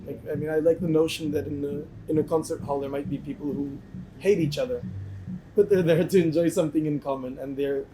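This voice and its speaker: Soft-toned man musician being interviewed. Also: fairly high pitch, steady tone, brisk, a little hiss or hum, poor audio. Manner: thoughtful, many restarts. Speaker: band member. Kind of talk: casual interview answers.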